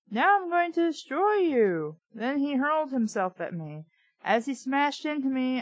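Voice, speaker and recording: A woman's voice: fairly high pitch, no room sound, rough recording. A woman reading a story aloud, breaking in with blunt, sarcastic, casual asides. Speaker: audiobook reader and commentator.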